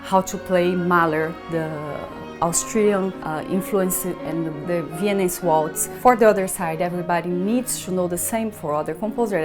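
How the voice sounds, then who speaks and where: Italian-Brazilian-accented woman conductor, low voice. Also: a little mellow. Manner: thoughtful, searching for words, hums rhythms. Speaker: orchestra conductor. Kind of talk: promotional interview talk.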